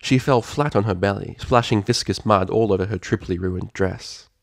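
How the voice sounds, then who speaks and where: A man's voice: lowish voice, normal speed, gravelly, no room sound, studio quality. A man reading fan fiction aloud as a scripted, dramatic story narrator.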